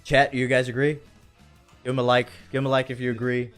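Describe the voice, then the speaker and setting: A male live stream host talks in a mid-pitched voice. His delivery is informal, slangy and playful, and faint music plays behind him. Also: monotone, quick pace, fairly bright tone. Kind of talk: casual live stream chat.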